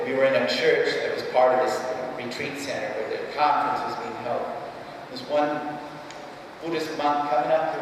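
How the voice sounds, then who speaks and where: A man in his fifties giving a live religious keynote, emphatic and halting, repeating key phrases and putting questions to his listeners. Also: on the high side. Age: 50s. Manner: poses rhetorical questions to the audience. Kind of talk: live keynote address, storytelling religious teaching. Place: large reverberant hall before an audience. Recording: echoey hall, distant mic, faint noise.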